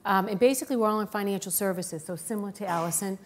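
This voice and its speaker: A woman's voice: mid-range voice, racing, slight background noise. A middle-aged woman with a thick Boston accent, speaking plainly and candidly as a panelist. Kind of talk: panel talk.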